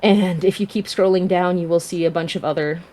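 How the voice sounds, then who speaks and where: A woman's voice: fairly low pitch, fairly even intonation, a little fast, warm tone. A woman speaking as a guest creator in casual livestream chat, effusive and emphatic in the way she talks. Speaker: audio drama creator, guest.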